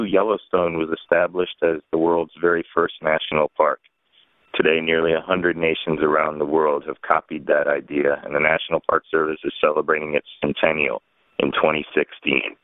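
A man's voice: rumbling low, no echo. A man with an American accent leaving a prepared comment as a radio listener. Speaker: listener calling in. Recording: narrow, tinny phone line.

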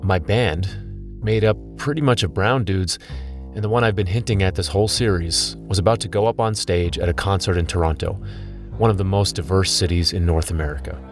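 A man's voice: low voice, a little fast, soft background music. A man in his thirties, a podcast host telling a personal story in scripted documentary narration.

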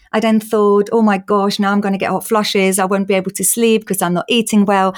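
A woman in her forties from Austria, with an Austrian accent, speaking openly and earnestly as an interview guest. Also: mid-range voice. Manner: earnest, open, quick-flowing. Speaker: guest, patient advocate. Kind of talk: candid interview conversation.

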